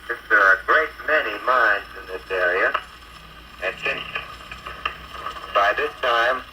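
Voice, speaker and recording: An American man gives an eyewitness war report as a 1940s radio correspondent, on a noisy wartime field recording. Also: medium pitch.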